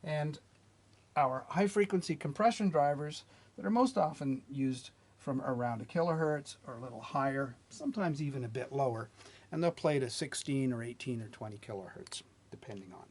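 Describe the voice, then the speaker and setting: Man explaining loudspeakers at a whiteboard. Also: medium pitch. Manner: plain, measured teaching delivery with light restarts. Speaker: R&D manager presenting a technical lesson.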